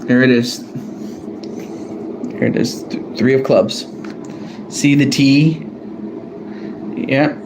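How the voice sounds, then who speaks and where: A man's voice: medium pitch, steady tone, slow pace, long pauses, background noise. A man casually drawing cards on a live stream, hesitant and apologetic, often correcting himself. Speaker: streamer drawing cards for a fan wrestling tournament.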